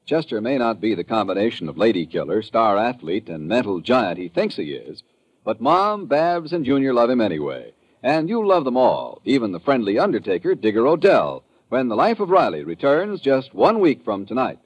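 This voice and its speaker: A man's voice: mid-range voice, sing-song, moderate pace, no echo, poor audio. A man with an American accent announcing network promos in mid-century radio style.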